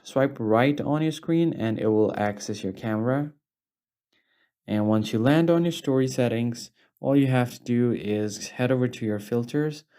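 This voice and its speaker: A man's voice: mid-range voice, warm tone. A man narrating a step-by-step tutorial as its host, in a plain, instructional manner.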